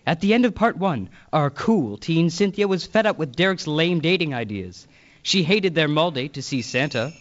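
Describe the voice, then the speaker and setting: A man's voice: a little high, animated, moderate pace, lo-fi sound, natural voice. A man playing the storyteller, reading scripted narration for a Christmas radio play. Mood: suspenseful storytelling.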